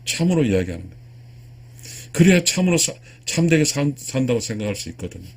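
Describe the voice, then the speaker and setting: A man in his sixties from South Korea giving a spiritual lecture to viewers, earnest and emphatic, with a husky voice and repeated key phrases. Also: mid-range voice, very animated, a little fast. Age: 60s. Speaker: lecturer, video channel host.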